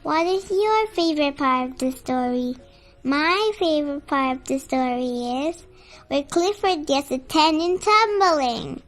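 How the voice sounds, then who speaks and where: A very young-sounding or high-voiced reader telling a children's story in a simple, expressive read-aloud style. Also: unhurried.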